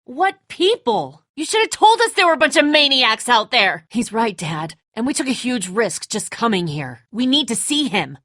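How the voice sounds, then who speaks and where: A woman's voice: a little high, sing-song, normal speed, few pauses, fairly bright tone, no room sound, studio quality. A woman playing a tough, bitter, defensive apocalypse survivor in a dramatic video game, speaking in a terse, confrontational way. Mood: alarmed, angry.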